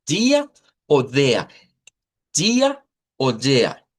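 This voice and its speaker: Latin american guy teaching pronunciation, mid pitch, informal but careful, explains step by step. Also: huge pitch swings. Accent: Latin American Spanish. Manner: didactic, deliberate, checks understanding with tag questions. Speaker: language teacher. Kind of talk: informal online language lesson, explaining pronunciation.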